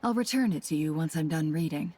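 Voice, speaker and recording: A woman's voice: low-pitched, animated, fairly quick, warm tone, a little hiss or hum. A woman voicing a composed, wry, teasing intel broker in a video game. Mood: smooth, teasing. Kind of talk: animated video game voice acting.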